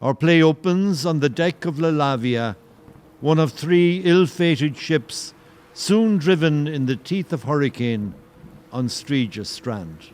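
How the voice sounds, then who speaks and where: An actor as the solemn narrator of an audio play, delivering scripted narration in a portentous, theatrical way. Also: male voice, fairly high pitch, animated, takes it slowly, darkish tone. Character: solemn storyteller introducing and presiding over the play.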